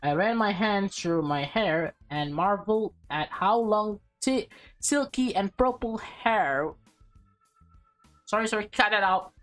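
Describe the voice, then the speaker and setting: A woman reading a visual novel aloud as a let's play host, expressive and breaking into casual, offhand asides. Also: mid-range voice, takes it slowly, soft background music.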